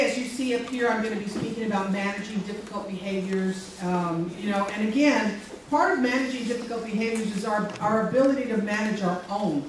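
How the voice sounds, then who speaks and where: A middle-aged woman with a strong, loud voice gives a chatty, joking talk with many fillers to a conference audience. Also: medium pitch. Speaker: speaker. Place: civic center meeting room. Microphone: handheld mic, far camera. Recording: echoey hall from far off, noisy.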